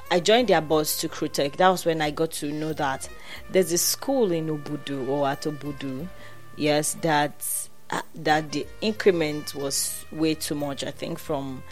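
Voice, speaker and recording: A young woman with a Nigerian accent speaks in a low, deep register as she chats with a friend, winding through her stories with restarts and quick asides. Soft music plays faintly in the background. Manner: chatty storyteller, many restarts and quoted voices. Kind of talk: casual chat.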